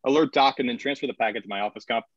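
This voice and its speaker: A man's voice: mid-range voice, rapid-fire, lightly acted. Man acting a flippant colonial governor, dull audio. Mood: brisk authority. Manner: flippant, sarcastic. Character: cocky, irreverent male colonial governor who banters crudely. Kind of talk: screenplay table read.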